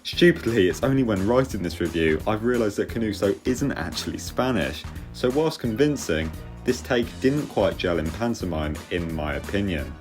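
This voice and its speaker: A British man giving a scripted theatre review as host, in a measured, articulate and opinionated way. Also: on the low side, animated. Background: music under the voice.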